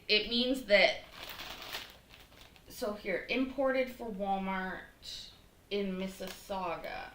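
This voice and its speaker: A woman's voice: on the high side, pushed and bright. A middle-aged woman hosting a casual cooking livestream from her home kitchen, chatting and rambling with lots of fillers as she talks to viewers by name. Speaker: livestream cooking show host. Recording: echoey kitchen, faint noise.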